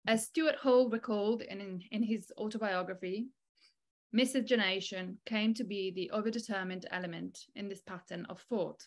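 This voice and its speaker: A woman in her thirties reading a formal academic lecture in a measured, articulate way over a video call. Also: medium pitch, fairly even intonation. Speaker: academic keynote speaker. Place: small indoor room, online video call. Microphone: video call microphone.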